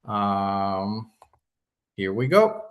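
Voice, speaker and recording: A man's voice: lowish voice, sing-song, very slow. A man from Mexico with a Mexican accent, giving an earnest hotel sales presentation on a video call webinar.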